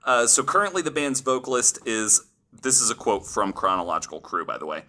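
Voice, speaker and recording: A man's voice: medium pitch, sing-song, fairly quick, pushed and bright, slight background noise. A man hosting a podcast, telling a story casually from notes in informal language with the odd swear word.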